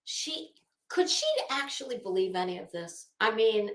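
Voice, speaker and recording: A woman's voice: medium pitch, expressive, normal speed. A woman giving casual, sarcastic commentary as a livestream host, with a theatrical, exasperated and mocking delivery. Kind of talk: casual commentary on a legal case, chatting with viewers. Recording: echoey room.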